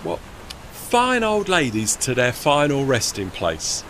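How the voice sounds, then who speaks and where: A man's voice: mid-range voice, huge pitch swings, takes it slowly, a bit bright, exaggerated voice. Man as working-class tugboat, radio play, cheeky, proud, folksy, bouncy intonation, slight background noise. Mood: cheeky pride. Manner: colloquial, folksy, non-standard grammar. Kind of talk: audio drama acting.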